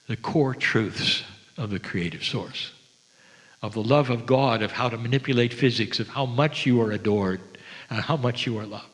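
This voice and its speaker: A man giving a spiritual sermon to an audience, speaking gently and reverently with deliberate, dramatic pauses. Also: medium pitch. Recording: long hall reverb.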